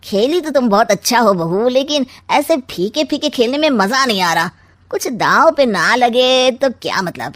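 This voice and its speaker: An actor playing a sly, scheming middle-aged uncle in a cartoon story, with smug, taunting, theatrically drawn-out rhyming quips. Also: male voice, very high-pitched, expressive, a bit bright, dry recording. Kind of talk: Hindi cartoon story acting.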